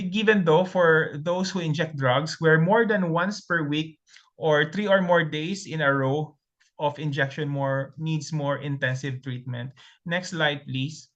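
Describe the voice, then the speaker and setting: Filipino male webinar presenter, Tagalog native, slightly high bright voice, steady methodical delivery. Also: measured pace, muffled recording. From Philippines. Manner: methodical, steady listing with frequent ums and restarts.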